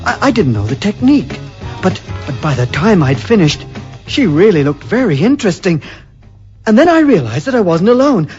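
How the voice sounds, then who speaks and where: An actor playing a mild, hesitant husband turned unhinged strangler in a radio drama, with a husky, stammering voice. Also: male voice, sits high, very animated, normal speed, a little mellow. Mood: dreamy, unhinged. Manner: hesitant, stammering, repeating words. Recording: dull lo-fi radio recording with music.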